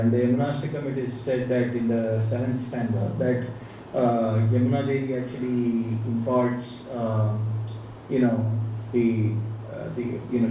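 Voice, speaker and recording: Man, soft dark tone, halting student question, distant mic, faint background noise. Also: medium pitch, very echoey. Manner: halting, repeats "you know". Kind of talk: spoken question in a class.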